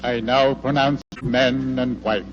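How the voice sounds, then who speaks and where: A man playing a solemn wedding clergyman, intoning ceremonially in drawn-out 1940s radio drama style. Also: medium pitch, fairly even intonation, close to an everyday voice. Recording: dull 1940s radio recording. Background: loud music.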